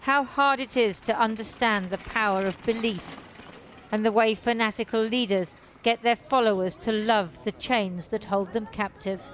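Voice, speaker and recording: A woman's voice: on the high side, normal speed, slight background noise. A woman reading scripted news narration as a reporter.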